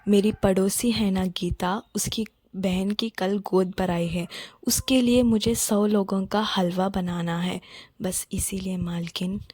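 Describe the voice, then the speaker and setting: Poor cartoon maid and mother voiced by a woman: soft-spoken, deferential, meek, slow pace, dry sound. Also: medium pitch, steady tone, breathy edge, dry recording. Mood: meek, apologetic. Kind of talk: Hindi children's cartoon story acting.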